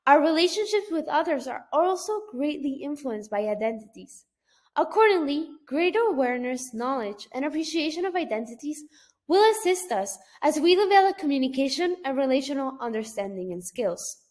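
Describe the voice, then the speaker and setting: A young woman from Mexico, a Spanish speaker with a Mexican accent, reading a class presentation in careful, list-like phrases. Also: high voice. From Mexico (Guadalajara). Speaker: student.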